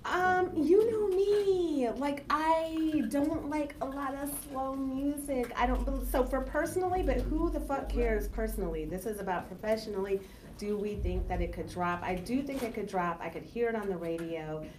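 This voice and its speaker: A woman's voice: high-pitched, normal speed, noisy background. Chatty young woman co-hosting radio. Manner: chatty, playful, questioning. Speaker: radio co-host. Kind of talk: casual studio banter reviewing music. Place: small radio studio. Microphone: headset and boom mic.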